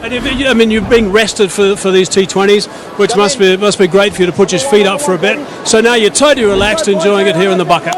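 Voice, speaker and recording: A man's voice: high voice, fast, hardly any pauses. A man presenting from the stands, chatting and joking during a live cricket broadcast. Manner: jokey, chatty interviewer. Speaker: pitch-side presenter. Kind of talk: casual live broadcast chat. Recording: broadcast with loud crowd and noise.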